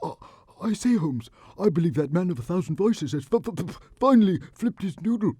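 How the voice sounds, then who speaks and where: A man's voice: sits high, lively intonation, fairly quick, mellow, breathy edge, no echo, clean studio sound. A man playing a flustered, astonished detective's sidekick, blustering and stammering in a comic radio-style sketch.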